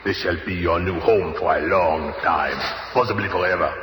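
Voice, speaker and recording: Deep, gloating radio villain, played by a man. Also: normal speed, natural voice. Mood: cold threatening. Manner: smug, taunting, formal. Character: gloating, sinister male saboteur villain. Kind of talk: 1970s radio drama acting. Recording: echoey, noisy old radio recording.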